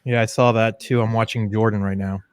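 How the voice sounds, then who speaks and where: A man's voice: on the low side, little pitch movement, quick pace, clean tone, no room sound. A man chatting as a panelist on a live stream, easygoing and agreeable. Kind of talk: casual stream chat.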